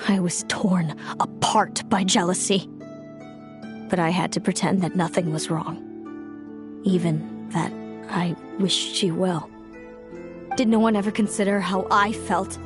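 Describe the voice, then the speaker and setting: A woman's voice: mid-range voice, lightly acted. An actress playing a cool, bitter young fae woman who resents her younger sister. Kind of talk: game voice acting. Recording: game audio with faint music.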